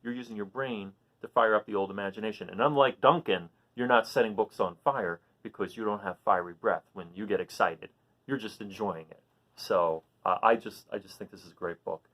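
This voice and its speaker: A man hosting a children's read-aloud, expressive as he reads and chats, explaining things simply to young listeners. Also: fairly low pitch, a little fast. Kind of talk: animated storybook reading and casual chat to children.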